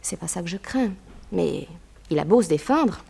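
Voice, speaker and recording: A woman's voice: fairly low pitch, animated, moderate pace, gravelly, warm tone, breathy edge, heavily acted. An actress playing an anxious, determined young businessman's daughter in a 1960s French radio drama, speaking earnestly and emphatically. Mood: indignant. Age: young adult. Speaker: actress in a detective radio serial.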